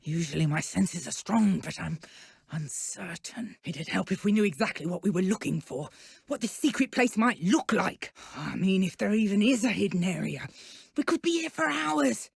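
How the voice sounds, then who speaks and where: A woman with a British accent playing a haughty, mocking dark witch villain in a video game. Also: mid-range voice, expressive, moderate pace, vocal fry, husky, no echo, studio quality, exaggerated voice. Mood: musing, uneasy. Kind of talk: video game combat voice lines.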